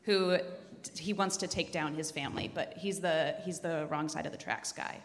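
A woman talks informally on a live podcast panel. Her voice sits a little low, and it carries long echo from the hall around her. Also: steady tone, normal speed. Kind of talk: casual live panel chat. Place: large room with a live audience, indoors. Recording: echoey hall with long reverb.

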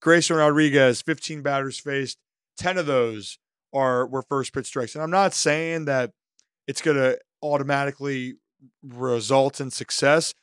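A man co-hosting a sports podcast, his voice slightly hoarse, talking through pitching stats and game analysis in animated bursts. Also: no room sound, clean studio sound. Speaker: co-host.

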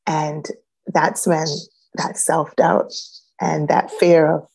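A woman's voice: sits low, little pitch movement, slow pace, creaky, slightly rough. A woman from Jamaica with a light Jamaican accent, telling her life story as an interview guest, reflective and full of "um"s. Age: adult, a grown child. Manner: reflective, many "um"s, warm laughs. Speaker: guest, reboot coach.

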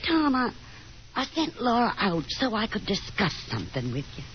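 A woman's voice: medium pitch, huge pitch swings, normal speed. An actress playing a fretful, self-pitying middle-aged mother who coaxes and nags in a 1950s radio drama. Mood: worried, nagging. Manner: coaxing, plaintive, fussy. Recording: dull, hissy old 1950s radio broadcast.

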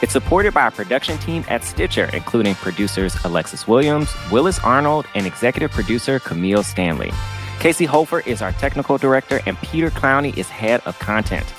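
A man's voice: medium pitch, big pitch swings, a little fast, music in the background. An American man co-hosting a podcast, speaking casually and conversationally into a close microphone. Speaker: podcast co-host.

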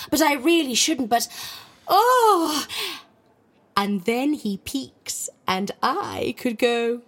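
A woman's voice: on the high side, a bit bright, breathy edge, heavily acted. An actress playing a vain, playful and imperious scheming queen in a comic audio drama, with a theatrical, haughty, flirtatious manner.

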